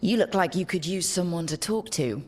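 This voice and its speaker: A woman playing a steady, supportive hero and party leader in a fantasy game, speaking calmly and reassuringly. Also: lowish voice, moderate pace. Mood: concerned, wry. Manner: calm, reassuring.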